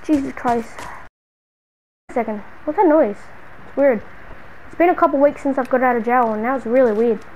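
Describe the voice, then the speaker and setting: A female gamer with a high voice improvises a role-play scene. The talk is slangy and exclamatory, played up for drama. There is light noise in the recording. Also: normal speed, pauses often, darkish tone. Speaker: gamer narrating a role-play short film. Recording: noisy home gaming recording.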